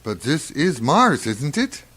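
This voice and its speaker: A man's voice: mid-range voice, sing-song, takes it slowly, bright, forceful tone, husky, exaggerated voice. A man playing an earnest, questioning spaceship crewman in a radio drama. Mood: insistent.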